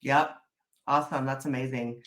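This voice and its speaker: A woman's voice: a bass voice, fairly even intonation, normal speed. A woman hosting a local podcast, asking questions in a friendly, affirming way during a casual interview. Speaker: podcast host.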